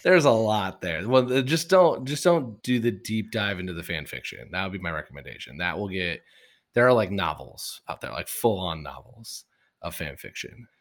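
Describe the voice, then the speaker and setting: A man hosting a casual podcast interview, chatty, with quick enthusiastic asides. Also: low-pitched, lively intonation. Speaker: podcast host.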